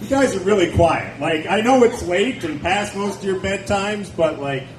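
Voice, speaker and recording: A man's voice: sits high, moderate pace. A man in his forties doing a stand-up set at a nightclub on a handheld mic, sardonic and chatty with the crowd. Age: 40s. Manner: sardonic, wry asides, chatty with the audience. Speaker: stand-up comedian. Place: nightclub stage, indoors. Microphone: handheld stage mic. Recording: echoey club recorded from a distance, with background noise.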